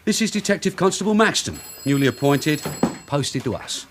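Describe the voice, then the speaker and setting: A man's voice: a little high, big pitch swings, normal speed. A streetwise male London detective sergeant in a British radio drama, wry and sardonic. His voice moves up and down freely. A faint background hiss sits under it. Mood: dry. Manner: dry, sardonic asides. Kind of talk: 1980s radio drama acting.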